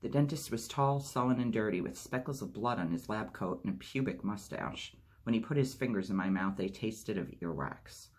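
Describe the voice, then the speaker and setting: A man reading a memoir aloud as a storytime host, steady narration with lightly acted dialogue. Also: on the low side, normal speed, few pauses. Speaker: audiobook reader.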